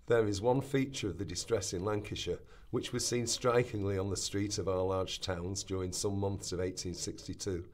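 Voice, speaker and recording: A man plays an earnest Victorian chronicler giving eyewitness testimony. His voice is fairly low, and he reads in a solemn, formal, measured radio drama style. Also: steady tone, lightly acted. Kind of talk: dramatic reading of historical testimony.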